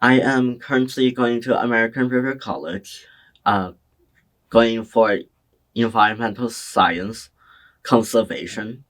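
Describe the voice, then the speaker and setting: A young man from China answering oral history interview questions in a slow, hesitant, soft-spoken way. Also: medium pitch, fairly even intonation, rough recording. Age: young adult. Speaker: interviewee, community college student.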